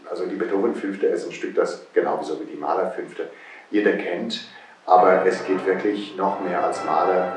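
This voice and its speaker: A middle-aged conductor with an Italian accent talks in a deep, low voice, pausing often and searching for words. He sits in a wood-panelled room, picked up by a camera some way off, so the sound is full of room echo. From Italy. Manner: thoughtful, hesitant, many fillers. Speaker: guest conductor. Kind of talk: interview answers. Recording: roomy, distant echo.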